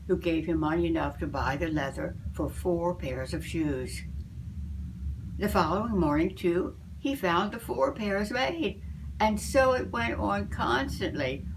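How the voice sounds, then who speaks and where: An older woman reading a fairy tale aloud as a storyteller, in a measured, deliberate way. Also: fairly low pitch, slight background noise, poor audio.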